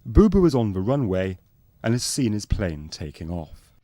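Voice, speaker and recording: A man's voice: fairly low pitch, big pitch swings, normal speed, dark tone, dry recording. A man reading scripted narration for a spoof fly-on-the-wall documentary.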